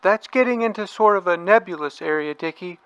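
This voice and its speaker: Man playing a high, bright, pedantic lawyerly adviser. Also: a little fast, smooth, dry recording, lo-fi sound. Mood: pedantic, measured. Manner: pedantic, precise legalese. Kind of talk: audio drama character dialogue.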